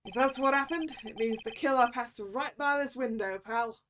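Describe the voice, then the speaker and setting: A woman's voice: fairly high pitch, moderate pace, a bit bright, a little hiss or hum, lightly acted. Woman voicing a blustering, chummy London police detective. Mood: officious. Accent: London cockney, slipping towards Scottish. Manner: blustering, ends remarks with "pal". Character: gruff male police detective, chummy, hapless, easily flustered.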